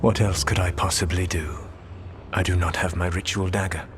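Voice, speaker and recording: An actor playing an ancient male elven rebel god, measured and precise, with dry sarcasm. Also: sits low, lightly acted. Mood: dry, mocking. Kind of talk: video game dialogue. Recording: game audio with steady background noise.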